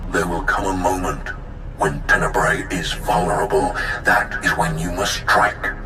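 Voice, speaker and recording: An actor voicing the spirit of an ancient male Sith Lord in a video game, speaking in a slow, grave, commanding way. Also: low voice, noisy background. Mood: ominous. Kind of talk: video game cutscene acting. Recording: echoing spirit voice over game score.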